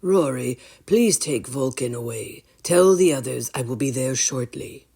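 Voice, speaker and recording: A woman voicing a tall old male royal wizard in a storybook, calm and commanding, with a measured, solemn delivery. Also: a bass voice, gravelly, husky, exaggerated voice.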